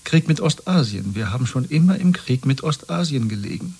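A weary government clerk with secret doubts in a 1970s radio play. His voice is husky and subdued, his manner earnest and terse. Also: mid-range voice, expressive, fairly quick, a little mellow. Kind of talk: 1970s German radio drama acting.

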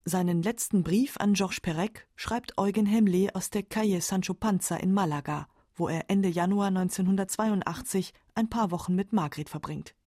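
A woman's voice: mid-range voice, normal speed, hardly any pauses, warm tone, no room sound, studio quality. A woman narrating a scripted radio feature as its narrator.

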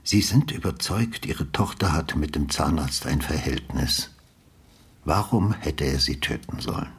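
Casting: older ex-detective, extremely low, very husky voice, patient, brooding, quietly probing radio-drama delivery. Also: male voice, lively intonation, exaggerated voice. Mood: sceptical, calm. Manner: measured, sober questioning. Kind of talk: understated German radio drama acting.

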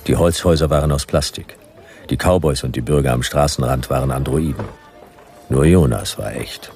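An actor playing a hard-boiled, wary detective hero in a radio drama, defiant, speaking in terse, clipped phrases. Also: male voice, very deep voice, normal speed, gravelly, darkish tone, faint music behind. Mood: grim narration. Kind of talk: radio drama acting with noir narration.

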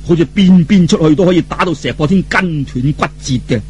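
A man's voice: fairly high pitch, a little fast, darkish tone, husky, lo-fi sound. A man telling a story in colloquial Cantonese, theatrical and dramatic, with vivid exclamations.

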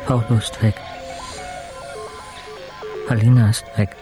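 A man acting a weary, embittered intensive-care patient, narrating a radio drama in terse, deliberate fragments. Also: lowish voice, little pitch movement, over music. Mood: agitated, bitter. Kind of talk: scripted first-person radio drama narration.

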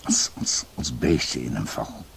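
An actor in a radio drama playing a grave older doctor who tells a dark family history in a halting, somber way. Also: male voice, rumbling low, creaky, a little hiss or hum, exaggerated voice.

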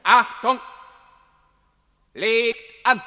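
Army officer yelling orders, super high piercing shout, long echo, radio play. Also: male voice, faint music behind, heavily acted. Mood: commanding. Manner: shouted, drawn-out commands. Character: stern military officer commanding a firing squad. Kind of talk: German radio play acting.

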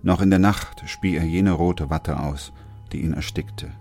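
An actor playing a grave, composed doctor who narrates a chronicle in a radio play, sober and measured. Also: male voice, low voice, fairly even intonation, vocal fry, a little mellow, soft background music.

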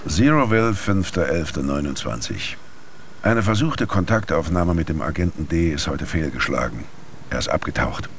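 An actor playing a sober male agent, dictating a formal, measured report in a radio drama. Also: extremely low pitch, animated, slight background noise. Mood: grave, uneasy.

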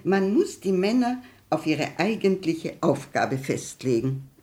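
Low-voiced, bossy aristocratic matron. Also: female voice, sing-song, measured pace, warm tone. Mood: indignant, brusque. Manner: brusque, decreeing. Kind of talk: 1960s German radio play acting.

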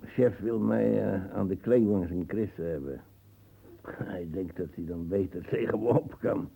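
Radio drama: old colonial veteran, low and husky, disdainful, rambling, grumbling. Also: male voice, a little slow, mellow, exaggerated voice. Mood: grumbling, boastful. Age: older. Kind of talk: radio play acting.

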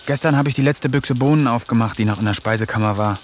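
A man narrating diary entries in a radio play, calm, measured and wry, sometimes dropping to a hushed whisper. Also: mid-range voice, slight background noise. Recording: studio radio play with faint music.

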